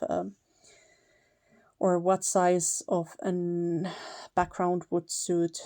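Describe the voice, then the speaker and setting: A woman's voice: lowish voice, dry recording, clean studio sound. A woman from Finland with a Finnish accent, chatting casually through a paper-crafting tutorial, correcting herself now and then. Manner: relaxed, chatty, laughs lightly, self-correcting. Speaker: paper crafter, channel host.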